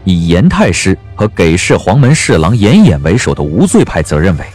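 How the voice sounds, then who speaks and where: A man narrating a dramatic audiobook as a measured, expressive storyteller. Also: sits low, slightly rough, faint music behind.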